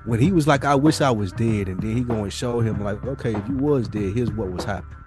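A man's voice: fairly low pitch, fast, music in the background. A man co-hosting a podcast, chatting casually in a blunt, slangy style with swearing. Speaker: podcast co-host. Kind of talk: casual chat.

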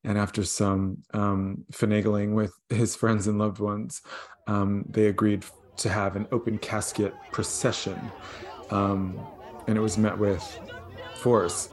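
Host, male, lowish voice; thoughtful, filler-heavy interviewer. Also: level tone, a little slow, a faint crowd. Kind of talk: interview questions.

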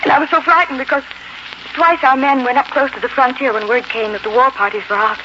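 An actress as heartfelt young frontier bride, vintage radio. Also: female voice, high voice, steady tone, fast talker, natural voice. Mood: fearful, pleading. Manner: heartfelt, dramatic delivery. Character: devoted young frontier bride, intense and tender. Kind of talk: 1940s radio acting. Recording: noisy, muffled 1940s radio recording.

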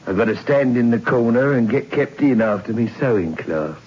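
An actor playing an insolent Cockney male convict serving life, with a slow, mocking, sarcastic delivery. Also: mid-range voice, lively intonation, a little mellow, slightly rough. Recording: dull lo-fi old radio recording.

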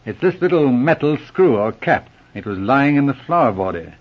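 Courteous male sleuth, lively intonation, quietly confident and probing, vintage radio serial with muffled sound. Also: mid-range voice, normal speed. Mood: musing. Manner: polite, probing, understated. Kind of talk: 1940s radio drama acting. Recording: muffled old radio recording.

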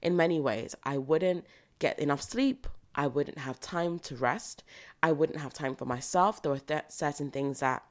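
A British woman hosting a solo podcast, slightly croaky from recording early in the morning, speaking in an earnest, motivational way and repeating phrases for emphasis. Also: low-pitched, normal speed. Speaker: podcast host. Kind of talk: solo reflective podcast monologue.

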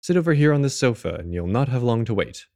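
A loyal, courteous gentleman companion, played by a man in an audio drama. He speaks earnestly in a mid-range voice with highly animated intonation. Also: fast talker. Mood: firm, placating.

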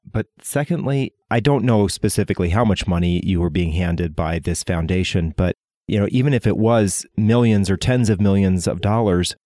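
A man's voice: sits low, moderate pace, warm tone, no echo, studio quality. A male podcast host delivering a scripted intro and then asking questions with emphatic energy.

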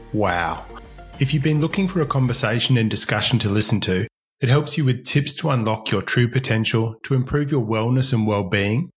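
A man hosting a podcast, introducing his guest with enthusiasm. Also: medium pitch. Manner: upbeat, enthusiastic presenter. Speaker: podcast host. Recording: faint music under voice.

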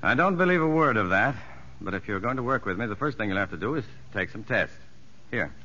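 A man's voice: on the low side, lively intonation, fast, sharp tone. An actor playing a shrewd, composed prison psychologist who narrates his story in a 1950s radio drama, educated and dryly skeptical. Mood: dry, probing. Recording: muffled vintage radio broadcast with music.

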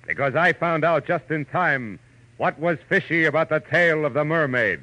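An actor playing a world-weary, wryly philosophical private detective in a 1940s radio drama, narrating in a musing, colloquial way. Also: male voice, fairly high pitch, normal speed, very noisy, lightly acted. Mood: punchy teaser. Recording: dull vintage radio recording. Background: dramatic music.

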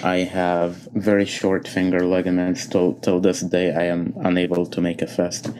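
A man from Egypt, a concert pianist, answering interview questions thoughtfully with many pauses and fillers. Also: low voice, completely flat delivery, a little mellow, a little hiss or hum.

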